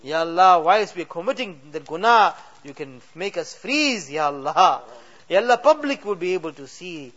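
A man leading an emotional supplication as imam, pleading with rising cries that fall to soft endings. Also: sits high, very animated, moderate pace. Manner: mixes English with Urdu and Arabic words. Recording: dull lo-fi recording.

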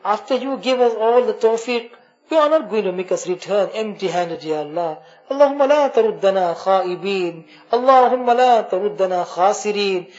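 A man's voice: sits high, expressive, moderate pace, a little mellow, dull audio. A man leading a congregation in an emotional supplication after night prayers in a mosque, repeating invocations in a pleading voice that moves between chanting and speaking. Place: mosque during a night gathering.

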